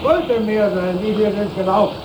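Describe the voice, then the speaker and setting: An actor in a 1960s radio drama playing a haughty, demanding prince with pompous, clipped speech. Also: male voice, high voice, moderate pace, lightly acted. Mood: imperious. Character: haughty prince demanding exact counts of liquid loads. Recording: echoing, loud background noise, old radio recording.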